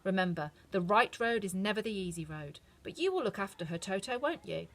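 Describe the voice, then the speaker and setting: A woman voicing a kindly, motherly old good witch who speaks in a gentle, reassuring way. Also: mid-range voice, lively intonation, fairly quick. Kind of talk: storybook reading.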